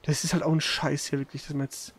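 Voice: mid-range voice, flat delivery, quick pace, husky. A gamer giving casual let's play commentary, grumbling and swearing in a slangy way.

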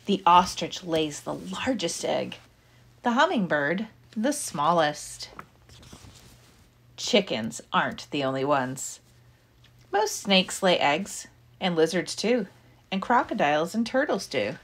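A woman reading a children's picture book aloud like a teacher, with deliberate, expressive phrasing for young listeners. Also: lowish voice, husky.

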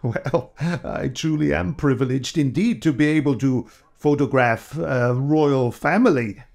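An actor playing a polite, hesitant old-fashioned photographer in an audio drama. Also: male voice, medium pitch, measured pace, creaky, a little mellow.

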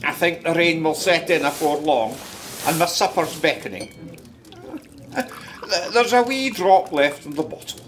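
An actor with a Scottish accent playing a wistful, companionable village storyteller in a radio play, reflective and unhurried. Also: male voice, fairly high pitch, expressive, a bit bright, a little hiss or hum. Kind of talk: radio drama narration.

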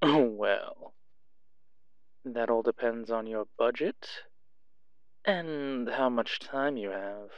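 A sly male fixer for an audio roleplay, acted by a man, drawling very slowly and theatrically. He is coy and teasing, always prying, and the dry recording sounds slightly dull. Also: medium pitch, no room sound. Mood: smooth, boastful.

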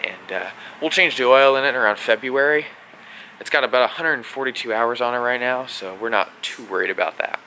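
A young man giving a casual how-to vlog while working in a workshop garage, relaxed and full of uh and um. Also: medium pitch, fairly quick, a little hiss or hum, low-quality recording. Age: young adult. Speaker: vlogger doing equipment maintenance. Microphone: action camera close up.

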